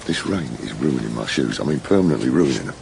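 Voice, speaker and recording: Very deep, gravelly, grumbling detective. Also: male voice, lively intonation, fast, darkish tone, a little hiss or hum, exaggerated voice. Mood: exasperated, grumbling. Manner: blunt, exasperated, sweary. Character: grumbling, sardonic male police detective, vain about his shoes. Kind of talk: naturalistic radio drama acting.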